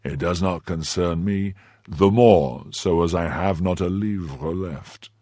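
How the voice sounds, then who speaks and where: A man's voice: low voice, takes it slowly, mellow, dry recording, studio quality. A man voicing a weary, hard-drinking nobleman musketeer who speaks in a languid, dismissive way.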